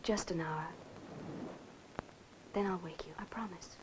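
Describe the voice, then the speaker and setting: An actress in a 1960s film drama playing a caring, supportive companion with a gentle, coaxing manner. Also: female voice, lowish voice, unhurried, natural voice. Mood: gentle, concerned. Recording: noisy old 1960s film soundtrack.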